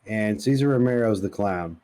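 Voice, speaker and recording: A man's voice: lowish voice, normal speed, mellow. A man co-hosting a podcast, chatting informally and insistently about pop culture. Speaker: podcast co-host. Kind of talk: casual pop-culture chat.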